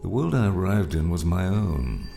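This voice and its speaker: A man voicing a gruff older mountaineer mentor in an audiobook, gravelly, wry and musing. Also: big pitch swings, moderate pace, mellow, soft background music, heavily acted. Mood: somber, reminiscing. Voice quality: gravelly, very deep.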